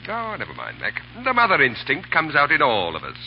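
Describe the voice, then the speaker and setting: A muffled old radio recording with some hiss features an urbane cavalry lieutenant. His pitch leaps widely as he speaks, droll and playful in vintage drama style. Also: huge pitch swings, a little fast. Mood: teasing. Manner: droll, dryly teasing. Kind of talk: 1930s radio drama acting.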